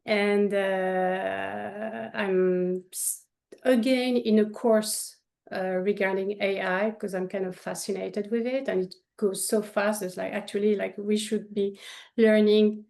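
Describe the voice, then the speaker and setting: A woman with a French accent whose voice has a gravelly, creaky edge, speaking at a middling pitch. She talks in a loose, animated way, full of fillers and restarts. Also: slow pace. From France. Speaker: artist guest. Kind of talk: casual interview conversation.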